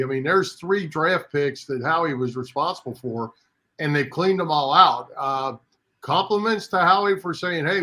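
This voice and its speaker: A man's voice: fairly high pitch, pushed and bright. A man co-hosting a sports talk show, adding his take in casual discussion.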